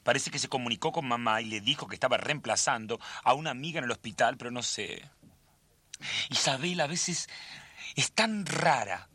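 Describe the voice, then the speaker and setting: Husky, worried Argentine son. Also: male voice, medium pitch, animated, normal speed, pushed and bright, dry recording. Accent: Argentine, Rioplatense. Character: worried, secretive grown son in a family melodrama. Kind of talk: radio drama acting.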